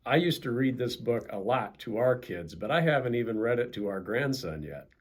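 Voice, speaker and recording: Older American man, animated, husky storyteller. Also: mid-range voice, normal speed. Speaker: pastor reading a children's story. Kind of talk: children's storybook narration with casual intro.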